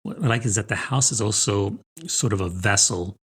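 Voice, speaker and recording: Podcast co-host: American man, middle-aged, lowish husky voice, casual and conversational. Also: normal speed, fairly bright tone. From New Jersey, United States. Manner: informal, chatty, peppered with rhetorical "right?" and "I mean". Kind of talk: casual conversational book chat.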